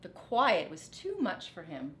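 A middle-aged woman reads a picture book to children in a teacherly way. Her pitch moves expressively and her pace is measured. The sound is echoey, like a living room. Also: wildly swooping pitch, vocal fry, fairly bright tone. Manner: teacherly, dramatic phrasing. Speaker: storybook reader. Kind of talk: expressive children's read-aloud.

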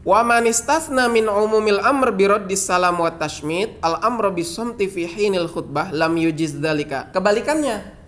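Indonesian man teaching from an Arabic text. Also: high-pitched. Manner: reads Arabic passages then explains in plain, repetitive phrasing. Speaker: Islamic jurisprudence teacher. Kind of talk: explaining and translating a classical Arabic text.

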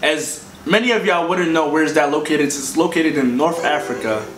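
A young man from Morocco, a native Arabic speaker, co-hosting a vlog in slangy, halting casual talk. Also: fairly high pitch. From Morocco until about ten, then US. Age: young adult. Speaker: vlogger, co-host. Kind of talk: casual chat to camera. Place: living room couch, indoors. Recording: roomy echo, music behind.

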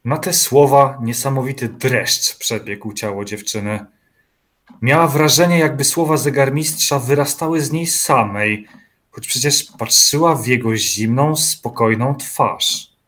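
Guy doing composed narrator for a spooky audio drama, medium pitch, literary storytelling over music. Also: a little slow, fairly bright tone, close to an everyday voice. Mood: composed, suspenseful.

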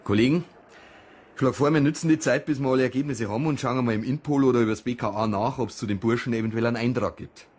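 Husky, businesslike police investigator played by a man. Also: medium pitch, fast talker, a little mellow, close to an everyday voice. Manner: crisply directive, probing. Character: businesslike male police investigator leading the case. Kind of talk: naturalistic German radio crime drama acting.

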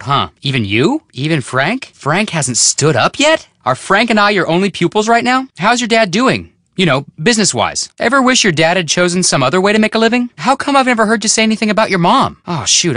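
A man's voice: on the high side, pushed and bright, no echo, studio quality, full character voice. A man playing an upbeat, curious young American amateur detective in a video game, breezy, teasing and casual.

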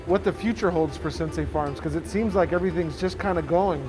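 A man's voice: fairly high pitch, a little fast, low-quality recording. A middle-aged man chatting casually as a cooking show co-host and asking questions. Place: studio show kitchen. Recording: clear music behind, sizzling.

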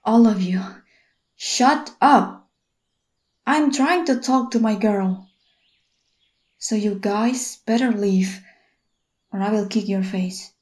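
A woman voicing a desperate, obsessive young boyfriend in a whispered ASMR roleplay, pleading and stammering in a soft, breathy whisper. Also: mid-range voice, fairly even intonation, slow, long pauses, close to an everyday voice.

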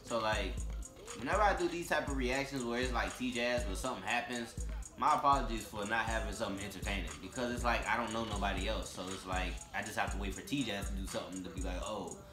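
Casting: young adult male, American, fairly low register, laid-back slangy reaction host, echoey indoor room. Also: quick pace. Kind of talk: casual, off-the-cuff reaction commentary. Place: room with leather couch and large windows, indoors. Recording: roomy echo, music behind.

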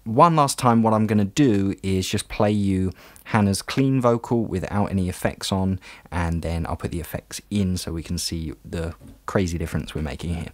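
A man's voice: low-pitched, moderate pace. A man talking conversationally as an audio tutor, explaining things step by step in a casual tutorial voice-over. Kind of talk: casual software tutorial narration. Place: voice-over for a screen recording.

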